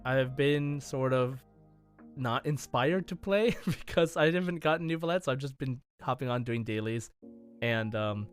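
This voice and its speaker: A man's voice: medium pitch, moderate pace, smooth. A man co-hosting a gaming podcast, chatting casually in a rambling way with music behind him. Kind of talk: casual chat.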